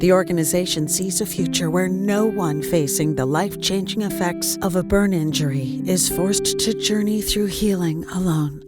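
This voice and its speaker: A woman reading a nonprofit announcement in an even, informative way. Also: on the low side, moderate pace, few pauses, loud music behind the voice. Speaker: sponsor announcer. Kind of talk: scripted announcement.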